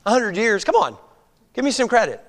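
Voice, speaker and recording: A man in his fifties delivers a sermon in a high, light voice. He talks it through conversationally, peppering it with rhetorical questions and tag checks. The sound trails off in the long reverb of a large hall. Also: very animated, brisk. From United States. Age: 50s. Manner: conversational preaching with rhetorical questions and frequent "all right?". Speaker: pastor.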